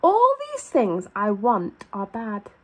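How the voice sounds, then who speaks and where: A woman's voice: medium pitch, huge pitch swings, slow pace, a bit bright, breathy edge. A young woman reading a children's storybook aloud as a teacher, expressively, drawing out key words for emphasis. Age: young adult. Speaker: primary school teacher.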